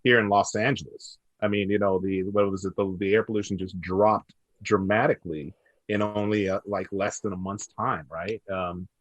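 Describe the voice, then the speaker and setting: A man talks as a panelist in a loose, emphatic, conversational way. His voice sits a little low, and the sound is close and dry, with very little room around it. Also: steady tone, moderate pace, no echo. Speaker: panelist, storyteller. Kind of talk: casual panel chat. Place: indoors, on Zoom call.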